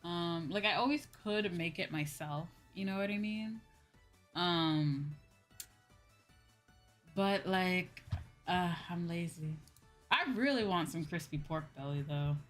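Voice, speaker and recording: A woman hosting a casual livestream, chatting with viewers in a drawn-out, exclamatory way and often repeating her phrases. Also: fairly low pitch, faint music behind. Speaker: livestream host.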